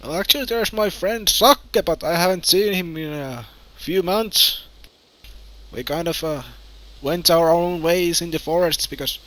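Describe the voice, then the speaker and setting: A man, roleplaying a survivor in an online game, talking hesitantly with many pauses and restarts. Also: fairly high pitch, sharp tone, poor audio. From Finland. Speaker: roleplay player. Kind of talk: in-character game roleplay chat.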